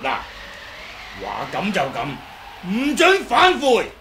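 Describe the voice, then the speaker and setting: High, swooping, garrulous old swordsman. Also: wildly swooping pitch, pushed and bright, exaggerated voice. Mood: boastful, teasing. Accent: Hong Kong Cantonese. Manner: chattering, teasing, drawn-out. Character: garrulous, boastful old martial artist, wily and comical. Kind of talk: 1980s radio drama acting. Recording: noisy old radio drama recording.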